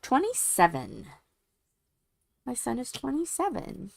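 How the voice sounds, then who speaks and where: A woman in her forties with a young-sounding, soft voice, chatting casually while she crafts on a livestream. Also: a little high, huge pitch swings, slow pace, vocal fry. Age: 40s. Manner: soft, sleepy-sounding delivery.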